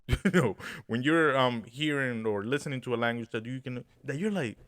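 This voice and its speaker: A young man co-hosting a podcast, telling a dream story in an animated way, laughing and acting out what people said. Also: mid-range voice, moderate pace. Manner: animated, laughing, acts out quotes. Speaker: podcast co-host. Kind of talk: casual chat, storytelling.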